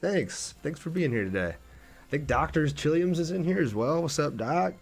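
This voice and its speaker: A man's voice: fairly high pitch, animated, moderate pace, gravelly, slightly rough. A man streaming a game, chatting casually and in a laid-back way with his viewers as he plays. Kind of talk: casual livestream chat over gameplay.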